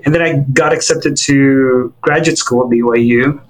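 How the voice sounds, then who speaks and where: Interview guest, man in his forties from the Philippines, mid-pitched, easygoing storyteller with fillers. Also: moderate pace, poor audio. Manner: relaxed storytelling with fillers and restarts.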